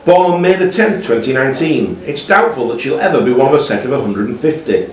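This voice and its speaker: A man's voice: medium pitch, lively intonation, fast talker. A man hosting a live quiz, reading questions aloud to contestants in a roomy pub space. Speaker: quiz master. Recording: roomy, echoey pub room, slightly distant mic.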